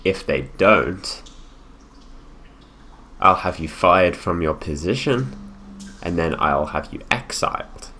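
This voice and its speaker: Casting: male actor as imperious, coolly menacing young royal heir for ASMR roleplay; very deep, condescending delivery, noticeable background hiss. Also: lively intonation, sharp tone, exaggerated voice. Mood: cold, threatening. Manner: condescending, deliberate, drawn-out phrasing with pauses. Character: haughty possessive young prince, heir to the throne, coolly menacing. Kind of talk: improvised ASMR roleplay, addressing the listener.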